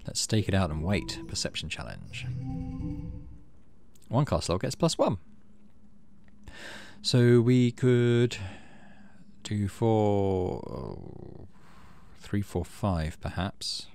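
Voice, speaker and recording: A man hosting a gaming channel, low-key, casually commenting on play and reading story text aloud. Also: sing-song, very slow, vocal fry, long pauses, a little mellow.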